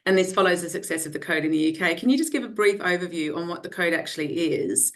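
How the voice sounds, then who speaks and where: A woman moderating a webinar panel over a video call, briskly summarising and leading into questions. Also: fairly low pitch, expressive.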